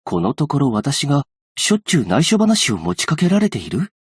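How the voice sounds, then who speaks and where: Soft-spoken, husky young aid worker. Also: male voice, fairly high pitch, wildly swooping pitch, dry recording, studio quality, exaggerated voice. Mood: calm, earnest. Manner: polite, soft-spoken, measured formal speech. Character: gentle, patient, earnest young male aid worker. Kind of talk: scripted game character voice lines.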